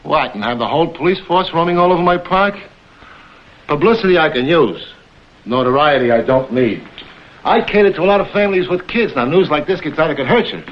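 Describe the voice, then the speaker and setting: An actor playing a brisk, worried amusement park owner in a vintage screen drama, brusque and businesslike. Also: male voice, a little high, expressive, natural voice. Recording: dull, noisy vintage TV soundtrack.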